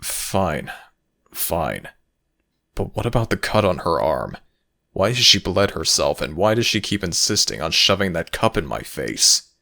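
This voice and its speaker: Gravelly, stern Egyptian jackal god acted by a man. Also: sits low, big pitch swings, unhurried, bright, forceful tone, pitched below the performer's own voice, exaggerated voice. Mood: exasperated. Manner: stern, formal, demanding, grudging. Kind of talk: dramatic ASMR audio roleplay.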